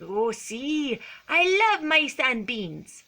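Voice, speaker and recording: A woman voicing an excitable, boastful boy kitten in a theatrical mock Spanish accent for a storybook. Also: high voice, extremely slow, pitched above the performer's own voice, heavily acted. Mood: eager. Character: excitable small boy kitten who fancies himself a sword-fighting Chihuahua.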